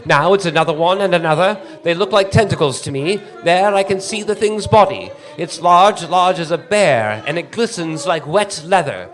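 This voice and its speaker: Actor as urgent, high-pitched male radio field reporter. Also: lively intonation, moderate pace, few pauses, a bit bright, faint music behind. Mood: alarmed awe. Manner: breathless, urgent, dramatic eyewitness narration. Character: frantic male radio field reporter, awestruck then terrified. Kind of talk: 1930s radio drama reporting.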